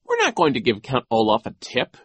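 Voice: mid-range voice, wildly swooping pitch, a little fast, fairly bright tone, breathy edge, no echo, voice pushed up, heavily acted. A performer voicing an exhausted, defiant young orphan girl who protests and cries out in a storybook reading. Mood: desperate defiance. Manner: protesting, blurting, crying out.

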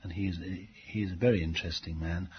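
A middle-aged man giving reflective interview answers as a teacher, speaking haltingly with frequent pauses. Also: low-pitched, steady tone. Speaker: English language teacher being interviewed. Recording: dull lo-fi tape, faint background noise.

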